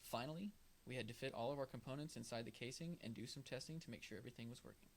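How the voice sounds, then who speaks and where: Young male student presenter, brisk scripted technical read, noisy recording. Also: mid-range voice. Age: young adult. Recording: clear background noise.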